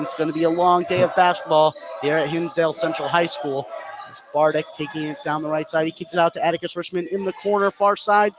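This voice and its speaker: A man doing live play-by-play radio commentary of a basketball game, lifting his voice on big plays. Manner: voice rises into shouts on big plays. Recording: clear gym noise behind the voice. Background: indoor high school gymnasium ambience.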